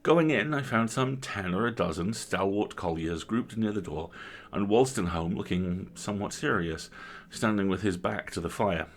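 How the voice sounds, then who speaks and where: A man narrates a literary tale in a deep voice with plenty of fry. His phrasing is theatrical and emphatic, set off by deliberate pauses. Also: lively intonation. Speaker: story reader, host.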